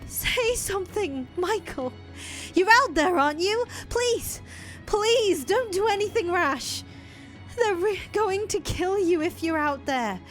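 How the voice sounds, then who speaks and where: A woman voicing a desperate young woman in love, crying and pleading in high shouts. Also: big pitch swings, slow pace, soft background music, full character voice. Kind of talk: audio drama acting.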